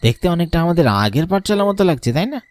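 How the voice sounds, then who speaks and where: A man's voice: on the high side, expressive, very fast, darkish tone, no echo, lightly acted. A man voicing a guilty, nervous village man who pleads for his life in a cartoon. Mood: uneasy. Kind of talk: cartoon voice acting.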